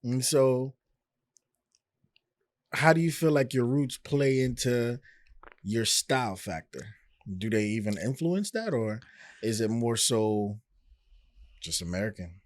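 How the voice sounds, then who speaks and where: A man's voice: mid-range voice, expressive, takes it slowly, long pauses, no room sound. A man hosting a podcast interview, asking questions and teasing his guest playfully. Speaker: podcast host. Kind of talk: casual interview chat.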